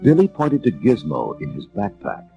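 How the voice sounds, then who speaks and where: A man narrating a children's storybook dramatically, with theatrical, measured delivery. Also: sits low, wildly swooping pitch, vocal fry, phone-quality sound, soft background music.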